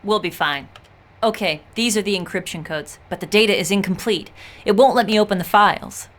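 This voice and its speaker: An actress playing a composed, matter-of-fact tech specialist on the run in a video game cutscene. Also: female voice, mid-range voice, a little fast, natural voice. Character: composed female fugitive agent and tech specialist. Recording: loud music behind the voice.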